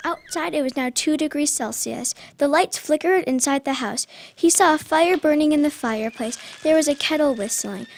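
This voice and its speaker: A woman's voice: high-pitched, normal speed, gravelly, hardly any pauses, slight background noise. A young female narrator telling a scripted story in a school radio drama. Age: child or young performer.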